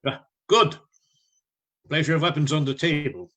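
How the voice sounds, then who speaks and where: A man acting a learned vampire hunter in a radio drama. His voice sits a bit high for a man, and he speaks with grave, measured authority and steady resolve. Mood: commanding, solemn.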